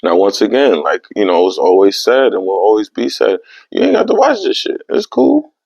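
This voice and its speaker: A young man hosting his own podcast, talking in a casual, animated way with streetwise slang. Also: fairly low pitch, moderate pace, vocal fry, breathy edge, no room sound. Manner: animated, streetwise slang, repeats "you know what I mean". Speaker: podcast host. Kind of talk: casual solo podcast monologue. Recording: dry, slightly dull lo-fi sound.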